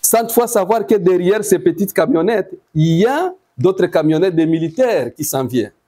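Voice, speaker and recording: A man with a Congolese accent giving eyewitness testimony, telling it deliberately in short phrases. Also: sits high, expressive, dark tone. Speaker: testifying massacre survivor.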